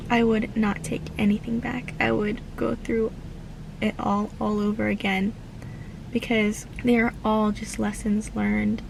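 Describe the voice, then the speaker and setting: A woman's voice: on the high side, vocal fry, a little mellow, a little hiss or hum, rough recording. A woman about to turn twenty, vlogging from inside her car in an earnest, grateful, rambling way, using filler words as she talks into her phone. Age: late teens. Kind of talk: reflective casual vlog.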